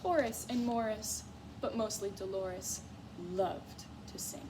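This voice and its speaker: A woman teacher giving a read-aloud lesson to young children in an expressive, encouraging way, often putting questions to her listeners. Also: medium pitch, slow, noisy background. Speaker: music teacher. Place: school classroom, in a reading corner.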